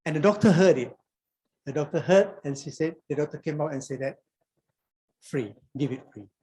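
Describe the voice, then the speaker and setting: A man's voice: fairly high pitch, expressive, moderate pace, warm tone, a little hiss or hum, rough recording. A Malaysian man in his sixties, a doctor giving an anecdotal conference talk with frequent repeats and restarts. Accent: Malaysian English. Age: 60s. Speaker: doctor and alumni conference speaker.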